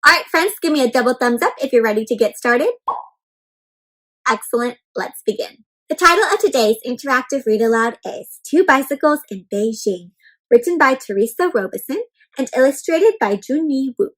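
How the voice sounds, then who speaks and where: A woman reading a picture book aloud to young children, animatedly addressing them with questions and pauses as she goes. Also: sits high, animated, fairly bright tone, poor audio. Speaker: children's read-aloud storyteller.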